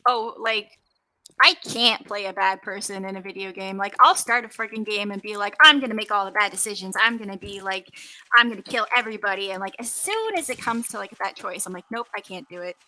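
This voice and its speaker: A woman's voice: medium pitch, brisk, sharp tone, no echo, rough recording. A woman in casual conversation while playing a video game, very informal, dramatically acting out her own reactions. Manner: informal, frequent "like", quotes herself dramatically. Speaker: voice actor being interviewed.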